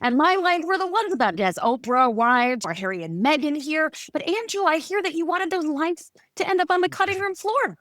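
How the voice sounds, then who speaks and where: A woman hosting a podcast in casual chat, bubbly and quick to laugh. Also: high voice, expressive, fairly bright tone, no echo, studio quality. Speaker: podcast host.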